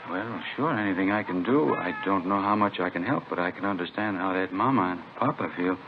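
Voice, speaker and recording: Through a tinny, hissy old radio recording comes a trusting new father. His voice is fairly low, and he talks in simple, friendly phrases, proud and eager to oblige. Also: fairly quick. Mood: eager, sympathetic. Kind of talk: 1950s radio drama acting.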